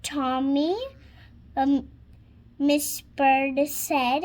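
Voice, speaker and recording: A woman's voice: high voice, flat delivery, very slow, a little mellow. A six-year-old girl reading a children's storybook aloud, hesitant and sounding out words as she practices. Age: child, six years old.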